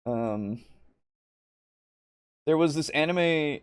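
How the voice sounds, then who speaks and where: A man's voice: on the high side, expressive, drawn-out words, clean tone, no room sound. Hesitant man with a dry, close sound. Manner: hesitant, rambling, thinking aloud. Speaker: podcast co-host. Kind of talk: casual discussion of roleplaying games.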